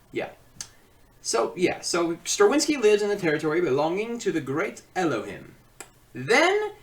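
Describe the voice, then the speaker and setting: Guy doing a film review video, super lively pitch swings, bit far from the mic, theatrical then rambly. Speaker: online film reviewer and host.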